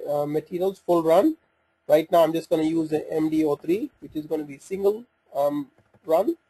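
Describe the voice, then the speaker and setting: A man with a soft, mellow tone and a somewhat raspy edge walks through software as a demonstrator. His pitch sits a little above average. He explains each step informally and haltingly, thinking aloud as he operates the screen. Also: poor audio. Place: voice-over for a screen recording.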